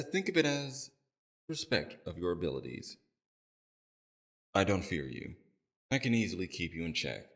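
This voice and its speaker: A man playing a courteous, calculating villain leader in an audio roleplay, speaking to a captive in a polite, measured, formal and softly condescending way. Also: a bass voice, heavily acted. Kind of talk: scripted audio roleplay, addressed to the listener.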